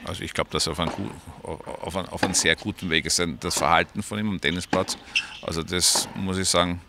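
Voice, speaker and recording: A man's voice: deep voice, fairly even intonation, fairly quick, vocal fry, noisy background. A man with an Austrian accent, a coach giving matter-of-fact, emphatic answers in an interview. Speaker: tennis coach.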